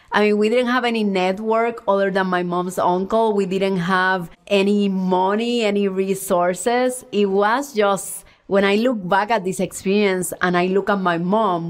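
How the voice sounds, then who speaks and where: Earnest Cuban-born woman with Puerto Rican accent. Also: medium pitch. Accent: Puerto Rican Spanish-accented English. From Cuba. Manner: earnest, heartfelt, unhurried storytelling. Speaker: podcast host and coach. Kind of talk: reflective solo podcast monologue.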